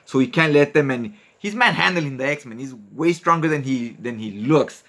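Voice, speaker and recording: A man's voice: mid-range voice, lively intonation, normal speed, fairly bright tone. A man hosting a comic book recap, narrating and commenting in a casual, slangy, conversational way.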